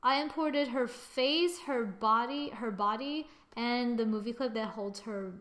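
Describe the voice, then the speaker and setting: A woman narrating a software tutorial casually, thinking aloud as she goes in an informal way. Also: a little high, a little slow. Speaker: tutorial presenter.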